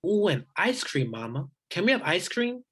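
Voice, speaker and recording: Woman playing a husky, whiny little boy. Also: on the low side, big pitch swings, moderate pace. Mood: pleading. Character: hungry, pleading little boy, childish and insistent. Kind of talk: rehearsed table read of a screenplay.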